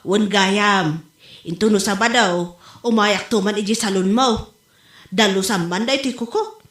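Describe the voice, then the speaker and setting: A woman's voice: mid-range voice, unhurried, fairly bright tone, close to an everyday voice. A woman playing a chatty, vain wife and mother with an Ilocano accent, bantering expressively in a comedy drama. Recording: roomy, echoey home recording.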